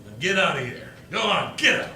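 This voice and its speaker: A man's voice: sits high, sing-song, brisk, bright, forceful tone, heavily acted. A man playing a bitter, miserly old tycoon with a sneering, commanding manner in a live radio play. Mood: scornful. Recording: roomy live stage, faint ambient noise.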